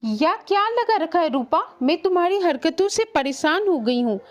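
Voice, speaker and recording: A woman's voice: very high voice, sing-song, moderate pace. A woman voicing a stern, exasperated mother of a grown daughter in a cartoon story. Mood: scolding. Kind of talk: Hindi cartoon story acting.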